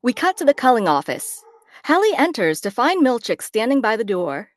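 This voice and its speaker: A woman's voice: a little high, very animated, normal speed, no room sound, clean studio sound. A woman narrator reading screenplay stage directions as dramatic scripted narration. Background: faint background music.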